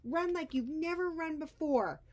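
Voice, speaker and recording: A woman voicing a brave little shell creature in a storybook, crying out with excited urgency. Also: high voice, a little slow, voice pushed up. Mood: urgent rallying. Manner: exclaiming, crying out.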